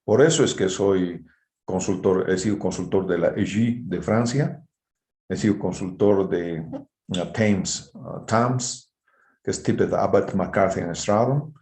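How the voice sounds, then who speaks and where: A man's voice: low voice, takes it slowly, darkish tone. A man lecturing informally to students in a didactic way, often checking in with short tag questions. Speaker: university engineering lecturer. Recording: roomy, echoing online class audio.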